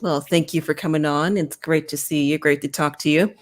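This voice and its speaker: Woman, slightly low pitch, podcast host, casual interview chat. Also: steady tone, fairly quick.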